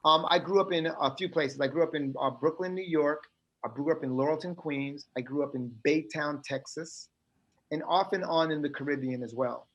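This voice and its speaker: Animated middle-aged man, lively higher voice. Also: normal speed, dull audio. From United States. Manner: animated, emphatic, streetwise phrasing. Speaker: guest. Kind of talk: casual podcast interview.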